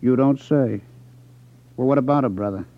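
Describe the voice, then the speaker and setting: An actor in a 1950s radio drama playing a smug, menacing gambling boss who talks in a condescending, sardonic way. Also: male voice, mid-range voice, moderate pace, natural voice. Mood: tough, sardonic. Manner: condescending, sardonic, tough talk. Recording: dull 1950s radio broadcast recording.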